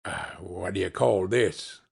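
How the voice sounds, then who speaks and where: Man doing a slow gruff old dealer. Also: deep voice, pitched below the performer's own voice, exaggerated voice. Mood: wry. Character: old male pawn dealer, shrewd and haggling.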